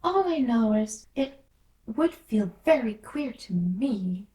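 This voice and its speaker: A woman's voice: a little high, animated, slow pace, mellow, pitched above the performer's own voice. A woman voicing a polite, puzzled little girl in a fairy tale, speaking earnestly with some hesitation. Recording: roomy echo, distant from mic.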